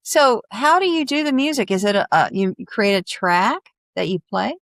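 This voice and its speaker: A woman's voice: medium pitch, animated, normal speed, no room sound. Woman hosting an interview, close dry sound. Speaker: interview host. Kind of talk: friendly conversational interview.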